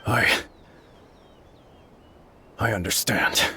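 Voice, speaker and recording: Forceful, tormented master swordsman. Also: extremely slow, faint music behind, heavily acted. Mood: humble, resigned. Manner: formal, earnest. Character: anguished, guilt-ridden master swordsman, formal and humble. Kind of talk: dramatic video game acting.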